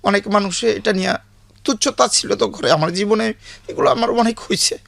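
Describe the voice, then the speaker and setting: A man's voice: high voice, a little fast, gravelly, fairly bright tone, no echo. A man in his late fifties with a Bangladeshi accent, telling his life story as an interview guest in plain, colloquial, repetitive speech.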